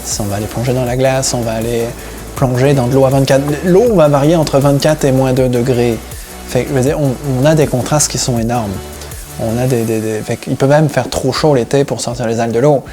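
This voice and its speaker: A man from the Paris region, telling about his own work and life in a relaxed interview account. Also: mid-range voice, brisk, faint music behind. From Paris region, France, with Breton roots. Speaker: seaweed harvesting diver and business owner, interviewee.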